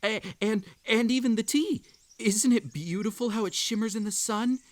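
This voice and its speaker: A man playing an obsessive, unhinged magical hare hosting a tea party in a roleplay audio, with a theatrical, halting delivery that swings between sweet coaxing and menace. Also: high voice, no echo, lightly acted. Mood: manic delight. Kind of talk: roleplay audio acting, intimate second-person address.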